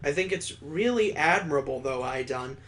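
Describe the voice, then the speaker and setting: Good-natured male family friend for a visual novel, a man reading, sincere, admiring, a slightly high voice. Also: expressive, moderate pace, a bit bright.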